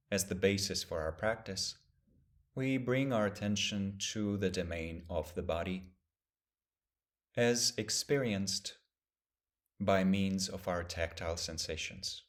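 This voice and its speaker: A man teaching a guided meditation, speaking gently and in measured phrases with long pauses. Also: sits low.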